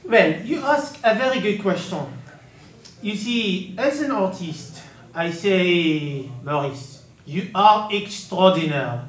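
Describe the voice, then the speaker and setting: A man's voice: high voice, animated, takes it slowly, roomy sound, rough recording. A man playing a pompous older French art professor with a put-on French accent, haughty and dismissive. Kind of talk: comedy sketch acting.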